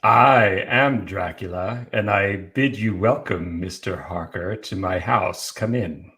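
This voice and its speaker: A man's voice: low voice, sharp tone, voice pushed down, heavily acted. Man playing a proud, grandiose old vampire count. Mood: courtly, ominous. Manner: formal, grandiose, deliberate. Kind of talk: amateur live dramatic table read.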